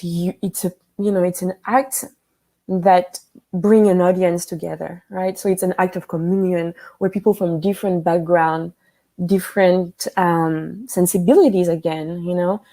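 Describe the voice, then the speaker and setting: A woman from France with a French accent, a guest speaking thoughtfully on a video call, pausing often and using fillers. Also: lowish voice, steady tone, dark tone. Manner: thoughtful, with frequent pauses and fillers. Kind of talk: conversational interview. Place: home room with a piano.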